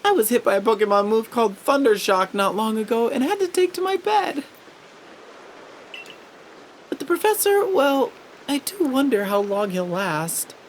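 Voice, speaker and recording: A woman's voice: a little high, expressive, normal speed, slight background noise, natural voice. A woman voicing a chatty, worried young villager in a video game, fretful and exclamatory, with music behind. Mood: curious, worried. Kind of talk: voiced video game dialogue.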